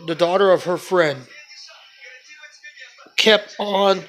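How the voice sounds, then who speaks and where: A man's voice: sits high, slow, a bit bright, soft background music. A man giving a casual, opinionated film review as a blunt, exasperated rant, swearing freely and repeating his complaints.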